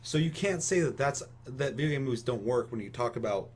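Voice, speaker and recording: A man's voice: mid-range voice, lively intonation, brisk, a little mellow, husky. A young American man hosting a casual podcast discussion, giving opinions emphatically with lots of casual swearing. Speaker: podcast host.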